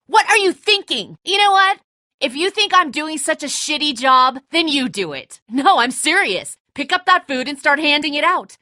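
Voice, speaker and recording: A woman's voice: high-pitched, sharp tone, no room sound, clean studio sound, heavily acted. An actress playing a tense, defensive woman who leads a group of survivors in a dramatic video game, blunt, confrontational and exasperated. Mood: angry, exasperated.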